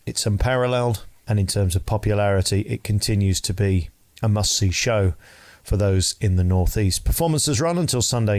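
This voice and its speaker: Low-voiced Englishman narrating a scripted review. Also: a little mellow. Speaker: entertainment reviewer and host.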